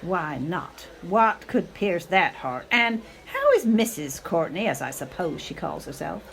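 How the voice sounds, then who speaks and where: A woman's voice: on the low side, very animated, a little slow, gravelly, exaggerated voice. Woman playing a theatrical, swooping, clinging mother. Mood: bitter, sarcastic. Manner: theatrical, affected, sprinkles in foreign phrases. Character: melodramatic, self-pitying, clinging middle-aged mother. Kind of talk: radio play acting.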